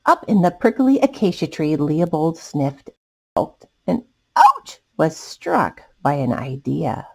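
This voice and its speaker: A woman's voice: deep voice, takes it slowly, gravelly, husky. A woman hosting children's storytime, reading a picture book expressively and adding asides to young listeners. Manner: animated, addressing young listeners.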